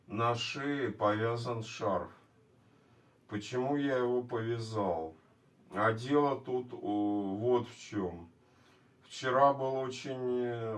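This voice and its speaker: Slow-talking man with a sore throat. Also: on the low side. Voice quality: weakened, scratchy voice from a sore throat. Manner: halting, repetitive, plain-spoken. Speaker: video blogger. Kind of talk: casual vlog talk to viewers. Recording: distant microphone.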